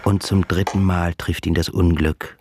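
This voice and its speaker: A man with a raspy voice giving formal, deliberate literary narration in a dramatic radio play. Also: low-pitched, flat delivery, a little mellow, slight background noise. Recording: studio radio play with music underneath.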